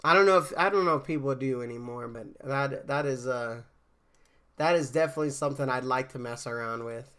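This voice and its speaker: A man's voice: mid-range voice, brisk, bright, forceful tone, smooth. A man casually chatting with viewers on a game stream, rambling with fillers and restarts, a bit congested from a cold. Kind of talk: casual live-stream chat.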